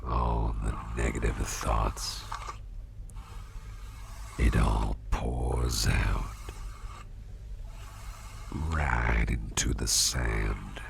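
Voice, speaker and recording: A man playing a gentle, doting businessman boss in an ASMR roleplay, murmuring soothingly in a gravelly, breathy half-whisper. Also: very deep voice, fairly even intonation, extremely slow, pauses often, noisy background, heavily acted. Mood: soothing, tender. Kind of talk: ASMR roleplay, personal attention.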